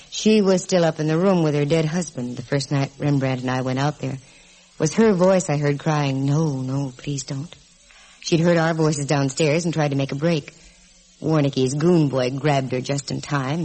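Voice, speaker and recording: An actress with an American accent playing a sharp-witted, breezy female private investigator in a 1940s radio detective drama, slangy and teasing. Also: deep voice, rough recording.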